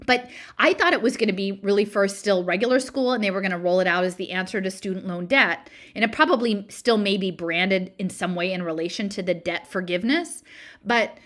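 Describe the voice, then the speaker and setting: A woman's voice: medium pitch, brisk, a bit bright. A woman presenter giving an informal explanatory talk, digressing and thinking aloud as she goes.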